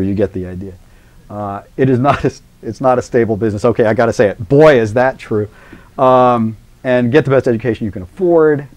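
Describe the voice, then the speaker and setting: A man's voice: medium pitch, darkish tone. A middle-aged man introducing a guest in a university lecture, chatty and rambling, full of filler words and self-mocking asides. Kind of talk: live classroom lecture introduction.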